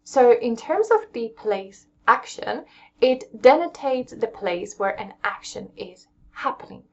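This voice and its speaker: Young English woman, home language teacher, gravelly voice, dull webcam audio. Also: fairly high pitch, expressive, a little slow, darkish tone. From England. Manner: patient, explains step by step and checks in with "okay?". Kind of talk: casual explanatory lesson.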